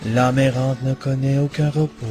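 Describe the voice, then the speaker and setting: Un homme jouant en français un jeune guerrier de jeu vidéo fier et assoiffé d'héroïsme, au ton hautain et déclamatoire. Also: male voice, medium pitch, level tone, moderate pace, soft background music, natural voice. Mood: solemn, lyrical. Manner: poetic, declamatory, haughty. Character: proud, theatrical young male warrior hungry for heroism. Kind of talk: French fan dub of a video game.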